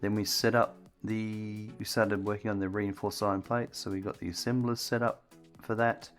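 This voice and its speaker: A man's voice: lowish voice, flat delivery, moderate pace, faint music behind. A man streaming a building game, chatting casually and thinking aloud as he works, with his voice getting strained. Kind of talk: casual gameplay commentary.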